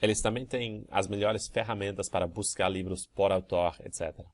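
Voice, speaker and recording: A man with a deep, low voice walks through student questions as their language teacher. He explains calmly and methodically. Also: moderate pace. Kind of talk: answering student emails in a course video.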